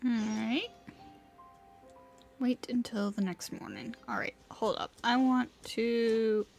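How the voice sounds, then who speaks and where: Creaky, higher-pitched woman streaming a game. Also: sing-song, slow pace, darkish tone. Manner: musing aloud to herself. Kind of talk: casual livestream gameplay commentary. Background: faint game music.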